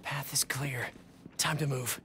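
A man's voice: mid-range voice, a little slow, noisy background, lightly acted. A man voicing a nervous, earnest teenage schoolboy in a video game, hesitant and muttering to himself. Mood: anxious, hushed. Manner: hesitant, anxious.

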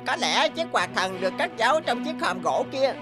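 A woman's voice: a little high, expressive, moderate pace, over music, close to an everyday voice. A woman voicing a small, loyal animal helper in a cartoon fairy tale, earnest and pleading. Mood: eager.

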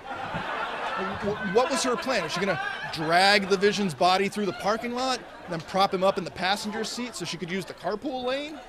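A man in his thirties doing a deadpan stand-up comedy routine at a stand mic, gesturing as he talks. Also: high voice, moderate pace, crowd noise, background noise. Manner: deadpan delivery with animated, sweeping hand gestures. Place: indoors, small set in front of a red curtain. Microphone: stand mic in front of him.

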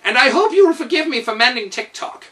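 A man's voice: very high-pitched, expressive, fast, sharp tone, pitched above the performer's own voice, heavily acted. A man voicing an eager, servile gnome steward from a storybook, obsequious and chirpy. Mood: proud, pleading. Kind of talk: storybook character voice.

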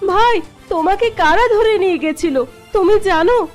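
A woman's voice: very high voice, normal speed, faint music behind. A woman voicing a distraught, devoted village wife in a cartoon fairy tale, speaking plaintively and melodramatically. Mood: anxious, pleading. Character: distraught, devoted village wife fretting over her missing husband.

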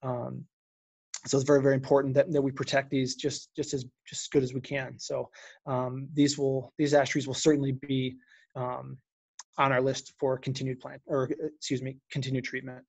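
A man answering questions on a video call, stopping and restarting his sentences often. His voice is gravelly and even in pitch. The sound is dry and close. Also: dry recording. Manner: halting, many restarts and fillers. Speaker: city urban forestry official. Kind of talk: unscripted webinar presentation and Q&A.

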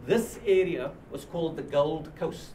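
An older man with a South African accent giving an informal history lecture to a small class, often halting and repeating words as he explains. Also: fairly high pitch. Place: small indoor seminar room. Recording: distant mic with light background noise.

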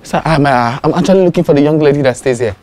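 Actor as hesitant male visitor, highish voice. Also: music in the background, lightly acted. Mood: polite, hesitant. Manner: halting, polite, evasive. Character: polite, hesitant male visitor seeking a young lady. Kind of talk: film drama acting.

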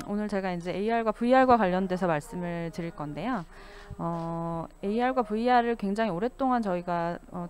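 Woman presenting in a mid-pitched voice. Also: darkish tone. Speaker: panelist, consulting company CEO. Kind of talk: formal presentation on industry trends. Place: stage at an expo panel, indoors. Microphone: handheld microphone, speaking through a face mask.

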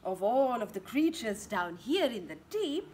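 A woman voicing a weird giant squid from a storybook in a drawn-out singsong. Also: a little high, very animated, darkish tone. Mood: playfully weird. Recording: outdoor ambient garden noise.

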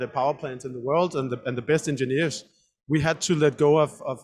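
Halting man on a conference panel. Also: on the high side. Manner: halting, many fillers and restarts.

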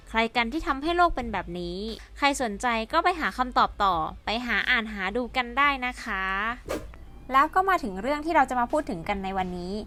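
A woman's voice: a little high, sharp tone. A woman hosting and narrating a scripted educational piece, explaining politely to her viewers. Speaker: host and narrator.

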